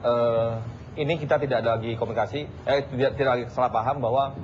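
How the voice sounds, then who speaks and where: Very rapid-talking man in a debate. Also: mid-range voice, fairly even intonation. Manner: rapid, argumentative, mixes in English phrases. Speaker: debate guest. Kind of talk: televised debate. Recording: dull archive TV audio with faint hiss.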